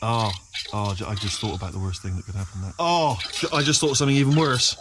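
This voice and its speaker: An actor playing a chatty, anxious, bumbling little robot in a video game, with an English accent and a stammering, rambling way of over-explaining. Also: male voice, on the low side, quick pace, background noise, close to an everyday voice. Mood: nervous rambling. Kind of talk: video game character dialogue.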